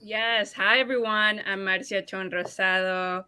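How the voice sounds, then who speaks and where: A woman's voice: mid-range voice, fairly even intonation, a little slow, pushed and bright, clear, resonant tone, dry recording. A woman from Ecuador introducing herself on a panel in an upbeat, loose, conversational way.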